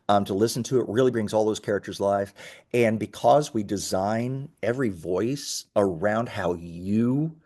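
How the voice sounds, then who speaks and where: A man's voice: mid-range voice, darkish tone. A man speaking as an interviewed founder, explaining his product in an enthusiastic, emphatic, conversational way. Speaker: startup founder being interviewed.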